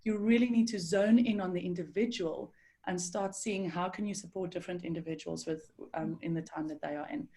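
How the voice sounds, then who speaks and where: A woman with a husky voice from hay fever, a lively panelist speaking over a video call. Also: lowish voice, brisk. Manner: lively, with a smile in her voice. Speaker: people management panelist.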